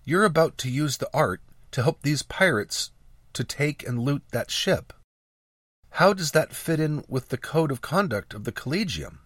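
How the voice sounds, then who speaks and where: A man's voice: fairly high pitch, lively intonation, moderate pace, fairly bright tone, no echo, studio quality, pitched above the performer's own voice. A man voicing an earnest, loyal young apprentice girl in a fantasy audiobook, with an eager, sincere manner. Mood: uneasy, questioning.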